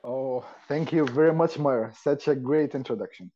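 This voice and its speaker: A man's voice: mid-range voice, normal speed, warm tone, a little hiss or hum. A man of twenty-two from Saudi Arabia with an Arabic accent, telling his own story casually and informally as a podcast guest. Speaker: podcast guest, university student. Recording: slightly dull lo-fi audio.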